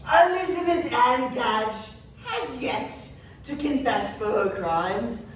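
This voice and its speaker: A woman's voice: fairly high pitch, expressive, a little hiss or hum. A woman playing a merciless, gleeful witch-trial accuser in a theatrical stage performance. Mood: gloating. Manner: theatrical, slow, pronouncing. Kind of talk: readers theatre stage acting. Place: large echoey indoor room, distant mic. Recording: very echoey hall from far off.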